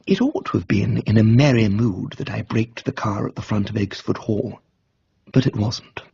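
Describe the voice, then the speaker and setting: A man's voice: on the low side, fairly even intonation, moderate pace, creaky, warm tone, husky, muffled recording. A British man giving a theatrical, wryly breezy first-person narration of a scripted comic story.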